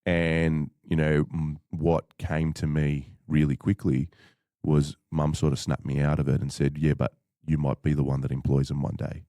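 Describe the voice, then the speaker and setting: Very deep-voiced, mellow man. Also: level tone, no echo. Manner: measured, thoughtful, with frequent fillers. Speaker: podcast guest. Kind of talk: reflective conversational storytelling.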